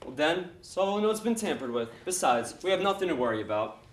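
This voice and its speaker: A man's voice: a little high, very animated, quick pace. A man playing an earnest, nervous amateur sleuth in a comedic stage play. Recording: echoey stage, background noise.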